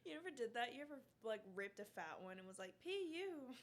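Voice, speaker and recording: Giggly young woman, higher voice, rough edge. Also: sing-song, a little fast. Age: 20s. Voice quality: rough, gravelly. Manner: joking, giggly, chatty. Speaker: podcast co-host. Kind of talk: casual chat.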